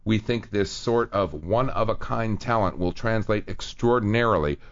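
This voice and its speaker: A man's voice: fairly low pitch, moderate pace, gravelly, no echo, highs cut off. A man hosting a radio talk show, chatting casually and reading quotes aloud with many fillers. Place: radio studio.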